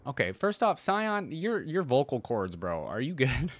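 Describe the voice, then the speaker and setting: A man giving casual, off-the-cuff reaction commentary as a video host, in an informal, slangy and expressive way. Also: mid-range voice, measured pace, very noisy.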